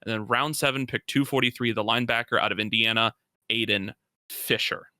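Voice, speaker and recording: Bright, forceful male sports podcast co-host. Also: medium pitch, steady tone, brisk, no echo, clean studio sound. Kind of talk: casual sports talk, analysis.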